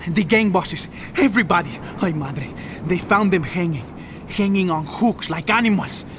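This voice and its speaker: A man's voice: high voice, normal speed, noisy background, natural voice. An actor playing a frightened male gang lackey who begs his boss for help and mixes in Spanish words. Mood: terrified pleading. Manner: pleading, panicky, Spanish words mixed in.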